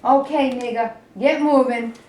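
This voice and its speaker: A woman's voice: sits high, fairly even intonation, measured pace, mellow. A woman in a staged play reading, playing a callous, nervy young male soldier. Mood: surly. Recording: distant echoey room, faint noise.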